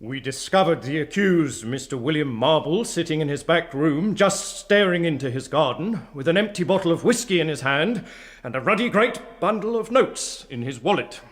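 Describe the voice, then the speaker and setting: An actor playing a respectful, plodding English police constable giving formal, slightly hesitant testimony in a radio drama. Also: male voice, fairly high pitch, expressive, moderate pace, close to an everyday voice. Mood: deferential, factual.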